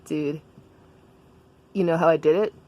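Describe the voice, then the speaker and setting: A woman's voice: sits low, steady tone, unhurried, a little mellow. A woman playing a laid-back, blunt schoolgirl slacker in a comic story, slangy and casual, with a drawling delivery. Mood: confiding, candid.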